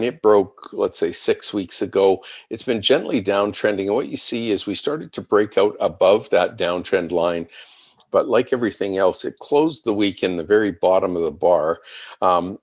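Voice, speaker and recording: Guy w a low voice walking thru charts like an analyst on a call, measured, thinking out loud, dry sound no room. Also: animated, no room sound. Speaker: financial market analyst presenting. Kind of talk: unscripted chart commentary on a conference call.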